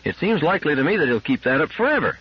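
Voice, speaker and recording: Casting: male narrator as bitter, exasperated male engineer for sci-fi audiobook, emphatic, highly animated, slightly husky. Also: very fast, lo-fi sound, full character voice. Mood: tense. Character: agitated, bitter red-haired male field engineer.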